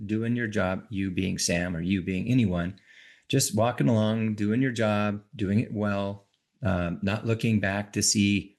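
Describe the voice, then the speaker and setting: A man's voice: on the low side, creaky, a little mellow. A man hosting an interview, enthusiastic and repeating his words as he talks. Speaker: interviewer host. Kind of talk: interview chat.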